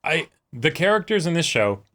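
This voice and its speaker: Bright-voiced man in casual reaction chat. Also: on the high side, big pitch swings, normal speed. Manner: agreeable, often echoing and repeating himself. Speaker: co-host of an anime reaction show.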